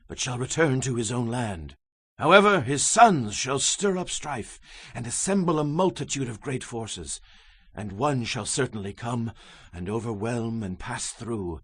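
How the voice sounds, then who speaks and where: Guy doing dramatized bible reading, solemn n declamatory, slightly rough husky voice, very animated. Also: medium pitch, dry recording. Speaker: Bible narrator.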